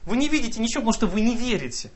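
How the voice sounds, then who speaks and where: A man's voice: very high voice, sing-song, rapid-fire. A man preaching a sermon, earnest and exhorting, reading scripture aloud and mimicking the excuses of doubters.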